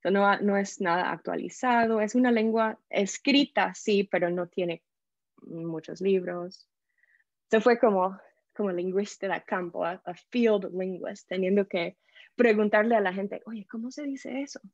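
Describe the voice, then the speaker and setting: A woman from the United States with an American English accent, a podcast guest telling her story in a lively way and slipping English words into her Spanish. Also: mid-range voice, a little slow, no echo, lo-fi sound. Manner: animated, acts out quoted voices, mixes English words into Spanish. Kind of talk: casual interview storytelling.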